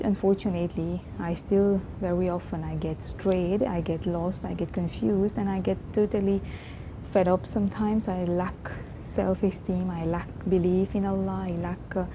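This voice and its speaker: Young woman from mauritius, creole first language, reflective vlog talk, lowish voice, constant rumble behind. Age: 20s. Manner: reflective, earnest, many restarts and pauses. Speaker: vlogger. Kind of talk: casual personal vlog talk.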